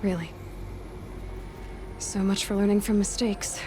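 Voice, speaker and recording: A determined young warrior woman voiced by an actress for a video game, blunt and wry, speaking in a mid-range voice. Clear background noise surrounds her lines. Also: medium pitch, fairly even intonation, unhurried, lightly acted. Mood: wry, stern. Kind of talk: video game cutscene acting.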